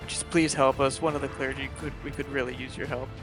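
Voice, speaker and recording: A man's voice: mid-range voice, level tone, fast talker, over music, close to an everyday voice. A man voicing a weary, bumbling town guard who gets flustered and stammers, for a tabletop fantasy game. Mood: weary, pleading.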